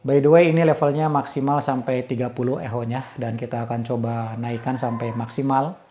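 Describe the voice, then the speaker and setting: Mellow-voiced man reviewing gear. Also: mid-range voice, steady tone, brisk, a little hiss or hum. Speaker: product reviewer. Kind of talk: casual spoken product review and audio demo.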